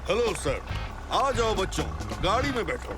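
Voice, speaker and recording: A man voicing a cheerful, obliging guide for children in an animated comedy. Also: sits high, moderate pace, over music. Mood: friendly.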